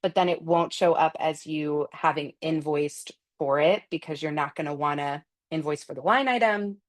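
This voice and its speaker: A woman with a low-pitched voice answers customer questions during a software webinar. She talks off the cuff, explaining things step by step and correcting her own phrasing along the way. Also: moderate pace. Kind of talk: unscripted webinar walkthrough and Q&A.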